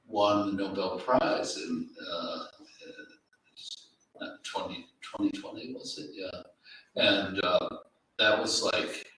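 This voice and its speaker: A man's voice: sits low, pushed and bright. A man speaking off the cuff on a literary panel as a publisher and editor, hesitant and reflective as he goes. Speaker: publisher and editor discussing poetry. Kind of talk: unscripted literary panel talk. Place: indoor library room at a live event. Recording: very echoey room, distant mic, faint noise.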